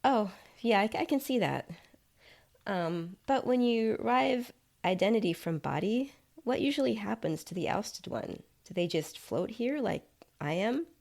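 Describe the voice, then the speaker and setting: Woman as an uneasy, questioning disembodied writer, creaky voice. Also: mid-range voice, expressive, a little slow, a little mellow, pitched above the performer's own voice. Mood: curious, hesitant. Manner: questioning, hesitant, polite. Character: uneasy, curious disembodied writer floating outside own body.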